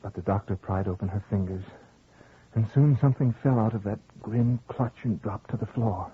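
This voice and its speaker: A man's voice: fairly low pitch, normal speed, husky. An actor playing a haunted, grieving husband who narrates his ghostly tale in dramatic old-time radio horror style. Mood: grief, dread. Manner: dramatic, theatrical delivery. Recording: tinny old radio broadcast with music.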